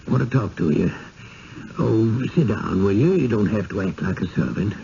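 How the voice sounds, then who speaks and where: An actor in a 1970s radio drama playing an elderly, genteel innkeeper, measured, persuasive and quietly firm. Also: male voice, fairly low pitch, expressive, gravelly. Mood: quietly warning. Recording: dull, noisy old radio broadcast.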